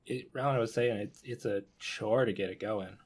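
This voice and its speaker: A man's voice: on the low side, normal speed, a crowd in the background. A man talking casually on a podcast, conversational and given to acting out what people might say.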